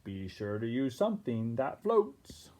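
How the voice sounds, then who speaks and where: A read-aloud teacher plays a friendly storybook pirate boy with a mellow voice. He is earnest and a little wistful, explaining gently. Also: medium pitch, very animated, a little slow.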